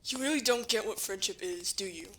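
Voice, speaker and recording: Woman as a bright, forceful young hero. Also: medium pitch, brisk, natural voice. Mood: defiant. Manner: earnest, firm. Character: brave, determined young hero, earnest and protective. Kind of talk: audio drama acting.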